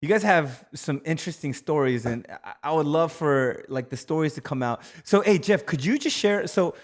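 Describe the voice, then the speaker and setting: A man's voice: on the high side, expressive, moderate pace. A man co-hosting a casual podcast, talking in a loose, rambling way with many fillers. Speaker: podcast co-host.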